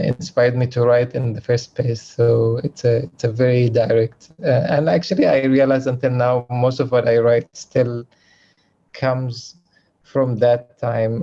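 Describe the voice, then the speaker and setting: Gravelly mellow man from Palestine. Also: mid-range voice. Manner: hesitant, many fillers and restarts. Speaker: film director on a festival panel. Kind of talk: unscripted panel answer. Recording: dull, muffled sound.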